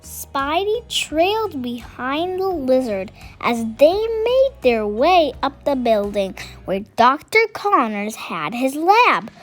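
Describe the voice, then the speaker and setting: Lady doing kids storytime, high voice, takes it slow, expressive, music playing behind her. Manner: expressive, addresses young listeners with questions. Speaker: children's storytime reader. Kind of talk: read-aloud storytelling with chatty asides to children.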